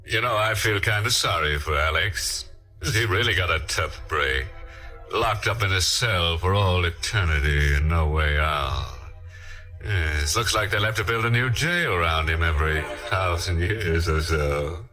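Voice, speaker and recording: A man hosting a 1940s radio mystery with wry, theatrical, mock-sympathetic dark humor. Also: low-pitched, lively intonation, a little slow. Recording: old radio recording with organ music.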